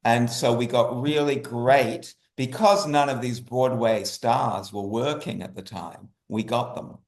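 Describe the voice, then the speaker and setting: A male guest talks in an interview with a medium-pitched voice. He circles back over his phrases, restating them emphatically. The sound is roomy and echoey. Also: unhurried. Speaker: guest, writer. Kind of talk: interview conversation.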